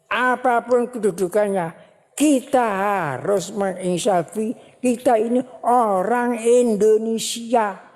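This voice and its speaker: A woman religious teacher giving a sermon at a ceremony, speaking slowly and repeating phrases for emphasis. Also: on the high side. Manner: slow, repetitive, emphatic preaching. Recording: long hall reverb.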